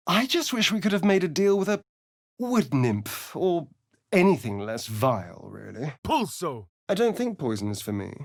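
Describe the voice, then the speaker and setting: A man's voice: on the high side, very animated, moderate pace, vocal fry, no room sound, studio quality, heavily acted. An actor playing a sly, vain male vampire rogue in a video game, with an arch, sardonic, theatrical drawl. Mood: commanding incantation. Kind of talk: video game character voice lines.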